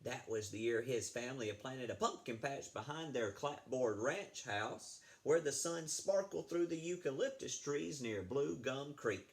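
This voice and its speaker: A man's voice: medium pitch, lively intonation, a little slow, few pauses. A man reading a picture book aloud to children, expressively, with casual asides. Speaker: read-aloud storyteller, apparently addressing schoolchildren.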